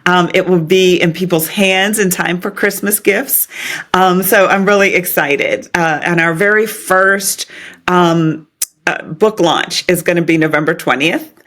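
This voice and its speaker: Excited middle-aged woman podcast guest. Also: on the low side, measured pace. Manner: warm, excited, chatty with laughs and fillers. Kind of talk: casual interview chat.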